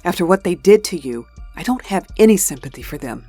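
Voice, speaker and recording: A woman playing a concerned, indignant wife and mother in a comic dub, earnest and expressive. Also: lowish voice, fairly quick, soft background music. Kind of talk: comic book dub acting.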